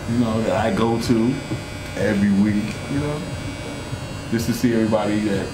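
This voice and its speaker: A man's voice: on the low side, normal speed, noisy background. Laid-back guy in a barber chair, roomy shop, clippers humming. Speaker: barbershop customer. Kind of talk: casual interview. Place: barbershop indoors.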